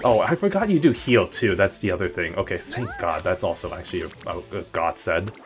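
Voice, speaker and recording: A man's voice: low voice, lively intonation, fairly quick, music in the background. A man streaming a game, chatting casually in a rambling, jokey way and correcting himself as he goes.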